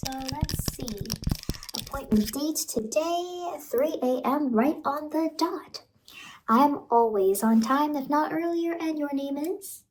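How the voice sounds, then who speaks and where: A woman voicing a sinister ice cream truck clown in a soft, cajoling, falsely reassuring ASMR horror roleplay, close to the microphone. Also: sits high, slow pace, low-quality recording. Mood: sly, luring. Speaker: ASMR performer.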